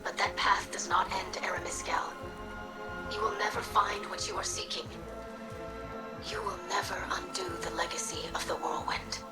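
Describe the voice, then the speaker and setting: A woman voicing a young alien peacemaker in a video game, earnest and solemn, with a breathy voice and formal, measured phrasing. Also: mid-range voice, steady tone, in a whisper, lightly acted, rough recording. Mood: solemn pleading. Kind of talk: scripted video game dialogue. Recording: loud music behind.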